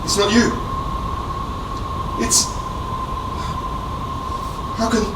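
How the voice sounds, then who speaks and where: A woman acting in an audio drama as a desperate, guilt-ridden lone survivor, halting and fearful, repeating pleas. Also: mid-range voice, little pitch movement. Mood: terrified, broken. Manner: halting, pleading, fearful repetition. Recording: noisy audio drama soundscape.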